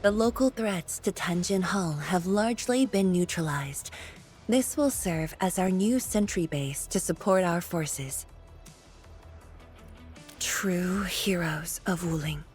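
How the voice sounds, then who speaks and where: Casting: dignified female game commander, fairly low voice, formal and composed. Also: darkish tone, faint music behind. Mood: solemn, resolute. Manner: formal, measured. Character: calm, dignified, prudent woman commander. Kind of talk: video game voice acting.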